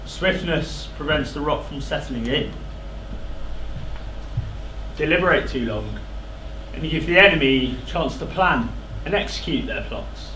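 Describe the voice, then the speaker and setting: Man acting high-pitched, proud, hot-tempered stage king. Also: lively intonation, a little slow, pauses often, pushed and bright. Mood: stern. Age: young adult. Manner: declamatory, indignant. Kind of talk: theatrical stage tragedy delivery. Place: theatre stage. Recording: echoey theatre with background noise.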